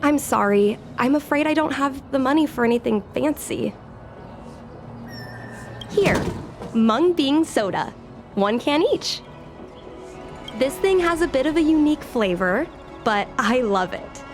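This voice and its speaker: A woman's voice: sits high, a little slow, pauses often. A woman playing a spirited, earnest young fox-woman who dreams of piloting, in a video game. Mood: perky, friendly. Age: young adult. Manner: perky, expressive, earnest. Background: music.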